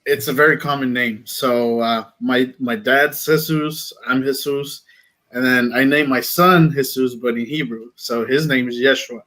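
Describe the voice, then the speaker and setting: A man who grew up between Mexico, Chicago and Texas chats as a guest on a livestream. His voice is mid-pitched and easygoing, and he laughs often. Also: fairly even intonation, a little slow, a bit bright. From born in Mexico, raised in Chicago and Texas. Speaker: small business owner, guest. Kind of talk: casual chat.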